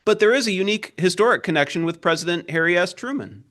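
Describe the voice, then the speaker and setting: A man's voice: sits high, animated, fast, a bit bright. A man working as a reporter, hosting a formal interview and introducing his guests and questions.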